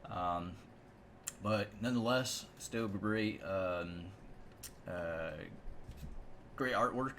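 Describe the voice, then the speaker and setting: Deep-voiced man giving casual game commentary. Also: drawn-out words, pauses often, fairly bright tone, slight background noise. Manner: rambling, offhand. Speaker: video game channel host.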